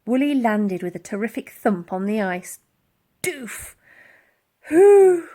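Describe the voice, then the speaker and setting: A woman reading a children's story aloud in an expressive, dramatic storytelling style. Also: mid-range voice, moderate pace. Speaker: audiobook reader.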